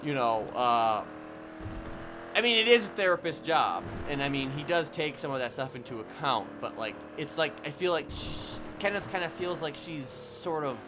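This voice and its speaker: A man's voice: fairly high pitch, animated, normal speed, over music. A man livestreaming casual commentary on a roleplay game, explaining things in a rambling, hedging way. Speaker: streamer.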